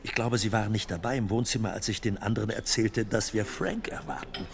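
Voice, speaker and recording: An actor playing a cheerful middle-aged gentleman in a radio play, genial and confident as he talks about his son. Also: lowish voice, fairly even intonation, fairly quick, vocal fry, a little hiss or hum. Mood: hopeful.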